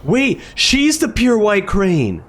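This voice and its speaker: A man giving live reaction commentary on a video game, excitable and often repeating himself. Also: high voice, slow. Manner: excitable, stammering repeats, chanting asides.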